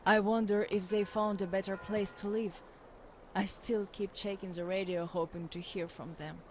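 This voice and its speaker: An actress voices a proud young survivor woman in a post-apocalyptic game. She speaks in a medium pitch, blunt and confiding, as if looking back on hard years. Faint ambient noise sits behind her. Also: level tone, moderate pace, darkish tone, lightly acted. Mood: wistful, reflective. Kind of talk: reflective scripted game monologue.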